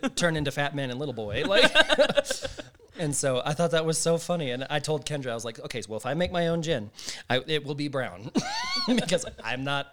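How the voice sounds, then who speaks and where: Man, casual co-host, lively pitch, laughs easily. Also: fairly quick, slight background noise. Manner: animated storyteller, laughs often. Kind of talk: casual chat.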